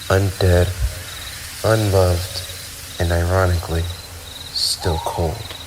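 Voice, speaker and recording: A man's voice: deep voice, noisy background. A man playing a lonely, centuries-old vampire with wounded pride, speaking in wistful, formal, theatrical phrases in an ASMR roleplay. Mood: wistful, resigned. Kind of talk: ASMR roleplay monologue addressed to the listener. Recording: night ambience with crickets and owls.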